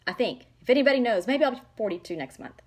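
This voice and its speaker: A woman's voice: on the high side, expressive, fast. A woman, chatting casually and gushing as she shows makeup products to the camera. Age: 40s. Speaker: makeup seller showing products. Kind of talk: casual product demo vlog.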